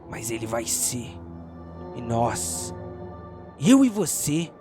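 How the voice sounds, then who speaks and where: A man with a Brazilian accent voicing a cruel, commanding cursed sword in a tabletop fantasy game, drawing out his threats slowly. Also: mid-range voice, sing-song, pitched below the performer's own voice. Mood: sinister threat. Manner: slow, drawn-out, threatening. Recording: music behind the voice.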